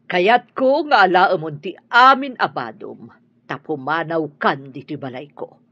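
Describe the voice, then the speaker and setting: Dramatic husky Ilocano older woman. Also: low-pitched, lively intonation, a little slow, dry recording, exaggerated voice. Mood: angry, imperious. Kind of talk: Ilocano radio drama acting. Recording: dull lo-fi radio drama recording.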